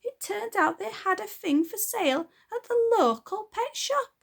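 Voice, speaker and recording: A woman's voice: lively intonation, measured pace, creaky, fairly bright tone, heavily acted. A woman doing a fluttery, simpering mum. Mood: gushing. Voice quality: very high, fluttery, gushing. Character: simpering, doting, apologetic mother.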